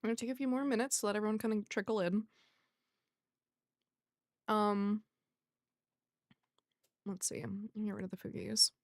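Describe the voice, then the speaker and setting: A soft-voiced woman hosting a livestream, chatting casually with viewers and muttering asides to herself. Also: medium pitch, steady tone, normal speed, long pauses, dry recording, studio quality. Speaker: livestream host.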